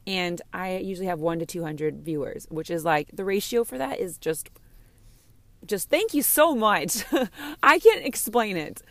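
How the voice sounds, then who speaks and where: A slightly hoarse woman chatting candidly and casually as a podcast host, rambling and gushing, easily distracted. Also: lowish voice, lively intonation, a little slow, no room sound. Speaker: podcast host and game streamer. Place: inside a parked car.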